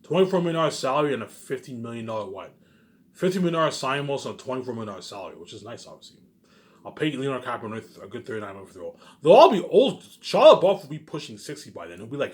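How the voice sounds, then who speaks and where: Fast-talking, boastful man. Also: mid-range voice, sing-song. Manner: repeats himself, emphatic, asks and answers own questions; boastful, profane. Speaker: vlogger. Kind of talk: rambling casual monologue.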